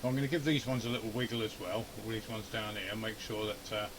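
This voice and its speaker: A man's voice: on the low side, fairly even intonation, quick pace, very noisy. A man giving a casual running commentary on a hands-on repair, grumbling and muttering to himself as he goes. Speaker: amateur radio hobbyist and video host. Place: indoor home radio shack.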